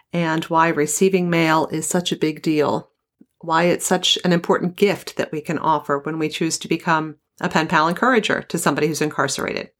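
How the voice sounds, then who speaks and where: A woman with a fairly low voice speaks as a podcast host. Her delivery is conversational and emphatic, and she lingers on key words. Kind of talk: hosted podcast intro and phone interview.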